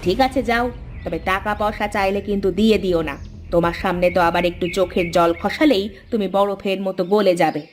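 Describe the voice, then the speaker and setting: A woman's voice: medium pitch, steady tone, fairly quick, slight background noise, close to an everyday voice. A woman voicing a worried, nagging village mother and housewife in a cartoon fairy tale.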